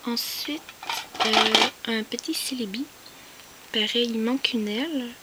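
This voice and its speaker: A woman with a Québécois French accent, whispering softly as she shows off her collection, with many hesitant fillers. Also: on the high side, a little slow, very noisy. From Quebec. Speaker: collector showing finds. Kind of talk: soft whispered show-and-tell.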